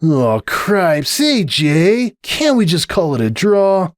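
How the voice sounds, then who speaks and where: A man's voice: sits high, very animated, slow, no room sound, clean studio sound, voice pushed up, heavily acted. A man voicing a young male pegasus athlete from a cartoon, wry and dramatic, with a strained, grumbling delivery. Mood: indignant. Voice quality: strained, wheezy.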